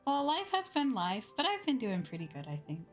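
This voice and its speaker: A woman's voice: sits high, big pitch swings, brisk, faint music behind. A woman streaming a game, chatting casually and playfully and muttering to herself.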